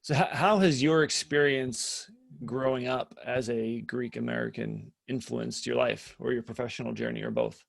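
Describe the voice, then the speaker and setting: Male webinar host, gravelly, mid-pitched, upbeat, restarts his phrases often. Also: steady tone, normal speed. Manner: enthusiastic, repeats himself while finding words. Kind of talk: conversational interview.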